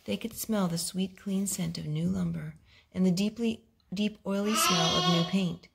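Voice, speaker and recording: A woman reading a picture book aloud at home, expressive and gentle, as a host talking to small children. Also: on the low side, moderate pace. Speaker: storytime host, reader to young children. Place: home living room with wooden floor. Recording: home video with clear background noise.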